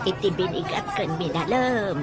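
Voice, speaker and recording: A woman's voice: fairly low pitch, expressive, moderate pace, lightly acted. A woman playing a friendly, chatty old grandmother in a radio drama. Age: older. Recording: loud music and crowd noise behind.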